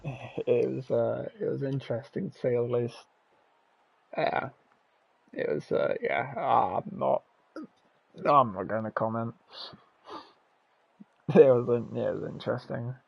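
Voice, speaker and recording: Hoarse young man calling a race. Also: mid-range voice, a little slow, pauses often, dull audio. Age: teens. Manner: shouts calls at the finish, then reads results and chats loosely with fillers. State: hoarse, voice nearly gone. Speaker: race commentator and stream host. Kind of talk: excited sim-racing commentary and casual chat.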